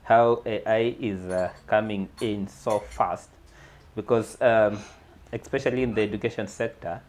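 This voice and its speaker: A man on a casual podcast panel who has a loud voice and speaks haltingly with repeated words. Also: fairly low pitch, fairly even intonation, a little hiss or hum.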